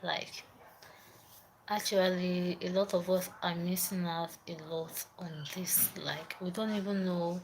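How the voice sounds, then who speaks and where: A woman's voice: lowish voice, level tone, measured pace, slight background noise, rough recording. Chatty young Nigerian woman livestreaming. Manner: chatty, repeats herself, greets viewers by name. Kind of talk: casual live-stream chat.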